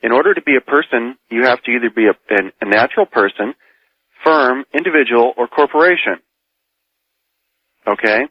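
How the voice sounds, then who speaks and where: Argumentative American radio caller, muffled dry line. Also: male voice, mid-range voice, animated, normal speed, long pauses, dry recording. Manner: argumentative, probing with pointed questions. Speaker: caller debating on a radio show. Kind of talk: live phone-in debate. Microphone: telephone. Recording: muffled phone call over old radio.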